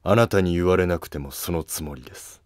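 Man acting palace guard, stern, guarded, curt, low-pitched, historical cartoon. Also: fairly quick, dry recording, studio quality, lightly acted. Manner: curt, formal. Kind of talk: Japanese historical animated drama acting.

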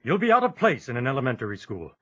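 A man's voice: mid-range voice, wildly swooping pitch, fast talker, a bit bright, highs cut off. An actor playing a plainspoken, caring farmer father in an audio drama. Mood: concerned, gruff kindness. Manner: plain, paternal.

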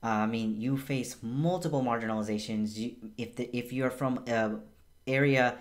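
A man's voice: medium pitch, normal speed. A young man born in Bangladesh, a physician, giving a casual and earnest health explainer from a small room at home. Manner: casual, chatty and earnest, with emphatic repeats. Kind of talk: educational health vlog.

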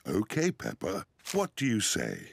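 A man playing a jolly, reassuring daddy pig in a children's cartoon, with a British accent and an unhurried fatherly manner. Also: on the low side, wildly swooping pitch, gravelly, slightly rough, dry recording, heavily acted. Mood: encouraging.

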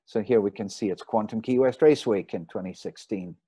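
A man, a sailing photographer, giving a relaxed, rambling slideshow talk over a video call. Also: on the low side, a little fast, breathy edge, no room sound. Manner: rambling, relaxed, with fillers and restarts. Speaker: marine photographer giving a lecture. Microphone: video call mic.

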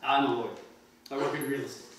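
A man's voice: mid-range voice, steady tone, quick pace, fairly bright tone, natural voice. A middle-aged man in a staged play reading, playing a kindly, hesitant father. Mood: amiable. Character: kindly, hesitant tourist dad, a lawyer. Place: wood-panelled mansion room, indoors. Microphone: distant camera microphone. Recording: very echoey room, distant mic, faint hiss.

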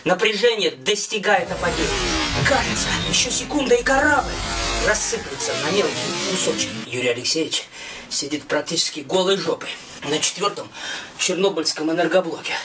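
A man's voice: high voice, lively intonation, normal speed. A middle-aged man narrating his own scripted story in a dramatic, emphatic, theatrical way. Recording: music behind a slightly distant voice.

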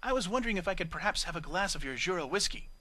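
A man's voice: high-pitched, lively intonation, very fast, bright, forceful tone. A man voicing a haughty, refined female cellist pony in a storybook reading, airy and polite, turning indignant.

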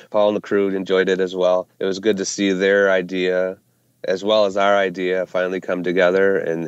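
A man with a deep, steady, even voice talks about his brewery in a halting, casual way, full of fillers and restarts. His tone is smooth and clear. The recording is dry and slightly muffled. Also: no room sound. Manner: hesitant, many fillers and restarts. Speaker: brewery co-founder, guest. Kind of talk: casual interview answers. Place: Ohio hometown brewery.

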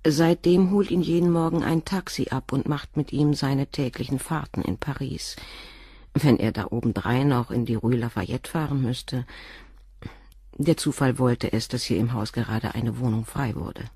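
An actress playing a composed middle-aged doctor's wife and former nurse, speaking frankly and in a measured way in a radio drama. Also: female voice, a bass voice, mellow, husky, no echo, clean studio sound, heavily acted. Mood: candid. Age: 40s. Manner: measured, frank, controlled confession. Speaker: witness questioned by the inspector.

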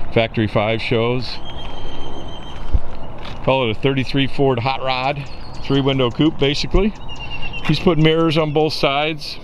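A man with a voice of ordinary pitch walks around a car and lists its features in an easygoing, steady way, now and then pausing on an "uh." He is recorded outdoors on a camera mic, with faint background noise behind him. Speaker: car dealer giving a walkaround. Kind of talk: casual walkaround car presentation. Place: outdoors in a park parking lot.

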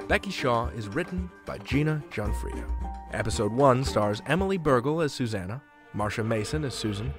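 A man hosting a podcast, asking interview questions and reading scripted material. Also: mid-range voice. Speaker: podcast host.

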